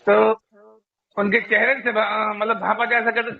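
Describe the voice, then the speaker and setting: A man's voice: high-pitched, level tone, a little slow, creaky. A man giving earnest, informal religious advice over the phone, often repeating phrases for emphasis. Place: phone call. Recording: tinny narrowband phone call recording.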